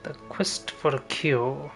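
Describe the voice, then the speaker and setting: A man's voice: medium pitch, fairly even intonation, takes it slowly, gravelly, a bit bright. A man giving casual gameplay commentary as a game streamer. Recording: game music behind the voice.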